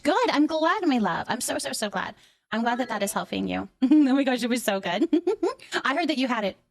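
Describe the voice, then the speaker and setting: A woman's voice: a little high, no room sound. A woman livestreamer chatting casually with her viewers, effusive and affectionate, often repeating words and short phrases as she thanks them. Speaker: live streamer hosting a chat.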